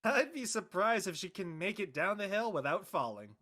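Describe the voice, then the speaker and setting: A woman's voice: mid-range voice, very animated, moderate pace, a bit bright. A woman playing an easygoing, slightly sheepish young man in an audio drama, laid-back and casual. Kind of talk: acted audio drama dialogue.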